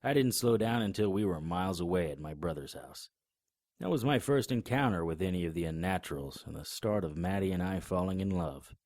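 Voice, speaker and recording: A man's voice: low-pitched, animated, a little fast, darkish tone, no echo, studio quality. A man narrating an audio drama in the first person, wry and matter-of-fact. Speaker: narrator and lead actor.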